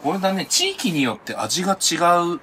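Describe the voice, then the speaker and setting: Animated man chatting, very lively pitch. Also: fairly quick, slight background noise. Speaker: podcast co-host. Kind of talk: casual chat among friends.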